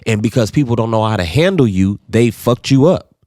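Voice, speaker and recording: A man's voice: medium pitch, lively intonation, brisk, darkish tone, no echo, studio quality. A man co-hosting a podcast, talking earnestly and reflectively in casual conversation, swearing now and then. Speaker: podcast co-host.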